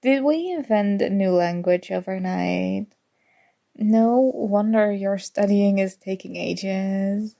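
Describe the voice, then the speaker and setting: A young woman playing a sarcastic, competitive college student in an ASMR roleplay, teasing and exasperated, with a creaky voice. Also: mid-range voice, takes it slowly, warm tone. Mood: mocking, grudgingly helpful. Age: young adult. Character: snarky competitive college student, grudgingly fond academic rival. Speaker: audio roleplay voice actor.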